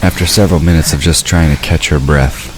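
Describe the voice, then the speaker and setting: A man's voice: very deep voice, fairly even intonation, fairly quick, music in the background, rough recording. A man narrating a scripted fantasy story for an audio drama.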